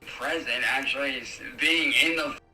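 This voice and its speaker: A man's voice: mid-range voice, steady tone, normal speed, sharp tone, soft background music. A young man chatting casually and slangily on an echoey, distant, noisy phone recording. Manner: slangy, swearing. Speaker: caller.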